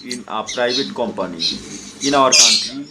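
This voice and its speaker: A man with a Bangladeshi accent chatting casually in halting, simple learner's English on an online video call. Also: medium pitch. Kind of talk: casual English practice conversation. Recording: clear background noise, online call.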